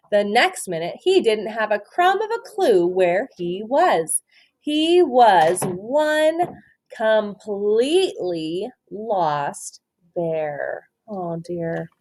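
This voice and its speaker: A woman doing an interactive picture-book read-aloud for children, expressive and often asking questions. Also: mid-range voice. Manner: expressive, asks questions and pauses for answers. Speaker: storytime reader, teacher.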